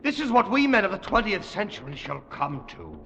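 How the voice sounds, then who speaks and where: An actor playing an intense, commanding scientist and time traveller in an old-time radio drama, speaking in an emphatic, theatrical way. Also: male voice, high voice, huge pitch swings, a little fast, vocal fry, pushed and bright, highs cut off, full character voice. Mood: grim realization. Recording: old radio recording with faint noise.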